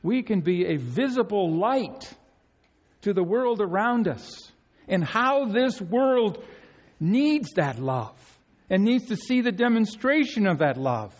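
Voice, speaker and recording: A male pastor preaching an earnest sermon, his voice climbing high to stress key phrases. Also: big pitch swings, takes it slowly. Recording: long hall reverb.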